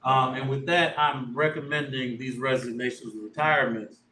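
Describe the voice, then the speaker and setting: A man's voice: mid-range voice, steady tone, moderate pace, bright, forceful tone. A man speaking as a school superintendent at a board meeting, casual and folksy in manner. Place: meeting room. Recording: echoey room, distant mic, faint noise.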